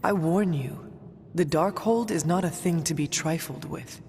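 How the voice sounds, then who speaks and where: A performer voicing a calm, earnest resurrected warrior in a video game, with formal, measured, old-fashioned speech. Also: medium pitch, gravelly, slight background noise. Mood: cautioning. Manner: formal, measured, avoids contractions.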